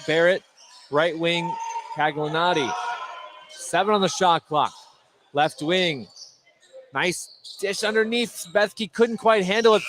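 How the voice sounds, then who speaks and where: An American man calling live high school basketball play-by-play for radio. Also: a bit bright. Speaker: play-by-play sports announcer. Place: courtside in a school gym. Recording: noisy gym broadcast, bouncing balls behind. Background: gym ambience, basketball bounces.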